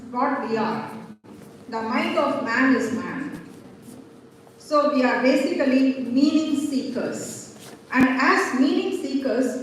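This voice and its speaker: Woman with a high voice, academic presenter, picked up from afar in a very echoey hall. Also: slight background noise. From Tamil Nadu, India. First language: Tamil. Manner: formal, measured lecturing with pauses. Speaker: conference speaker. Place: large seminar hall. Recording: echoey hall heard from far off.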